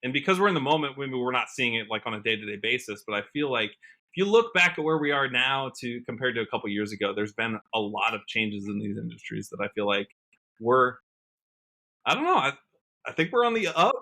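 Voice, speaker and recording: Casting: young American man, bright clear-cut tone, upbeat, conversational podcast guest. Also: medium pitch, sing-song, a little fast. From Washington state, United States. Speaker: podcast guest, country musician. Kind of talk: casual interview chat.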